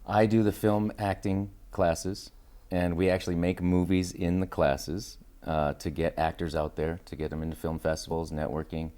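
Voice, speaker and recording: A man's voice: deep voice, level tone, moderate pace, mellow. A man talking casually as an interview guest, informal and enthusiastic, with loosely strung phrases. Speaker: interview guest, acting studio founder and teacher.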